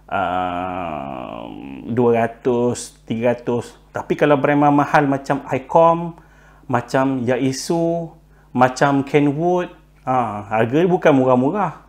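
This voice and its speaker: A man with a Malaysian accent explaining tips informally and unscripted, pausing on fillers, repeating himself and mixing in English words. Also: medium pitch. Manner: halting, frequent filler words and repetition; code-switches with English words. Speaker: presenter giving buying tips.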